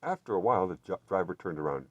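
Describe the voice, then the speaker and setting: A man reading a children's storybook aloud with expressive, deliberate narration. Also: sits low, dry recording, muffled recording. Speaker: children's bookstore reader.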